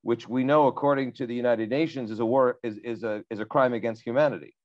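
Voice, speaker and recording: A middle-aged man interviewing over a video call, halting and searching for words. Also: mid-range voice, dull audio. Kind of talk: interview questions. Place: dark room indoors.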